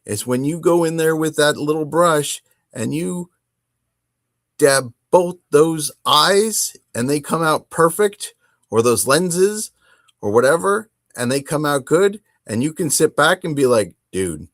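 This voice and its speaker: A man's voice: on the high side, expressive, slow pace, a bit bright, dry recording, clean studio sound. A middle-aged man hosting a casual solo hobby podcast, talking in an informal, rambling way with emphatic turns of phrase. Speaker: podcast host.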